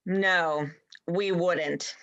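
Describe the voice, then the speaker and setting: A woman's voice: lowish voice, steady tone, extremely slow, fairly bright tone, lo-fi sound, exaggerated voice. A woman playing an irritable, sarcastic rabbit in a dramatized play reading, with a mocking way of talking. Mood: sardonic.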